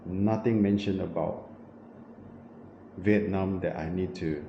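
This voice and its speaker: Man, low voice, halting casual vlogger talking to a camera in a small room; boomy and slightly dull. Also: steady tone, gravelly. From New Zealand. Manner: halting, repeats and corrects himself with many fillers. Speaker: travel vlogger. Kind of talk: casual vlog walkthrough. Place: small windowless hotel room. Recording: echoey room, muffled, noisy background.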